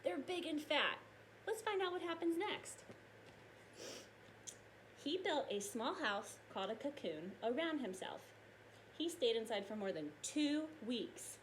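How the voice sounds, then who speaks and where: A woman teacher reading aloud and explaining science to young children in an expressive, explanatory way. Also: high voice, a little slow, long pauses, a little hiss or hum, poor audio.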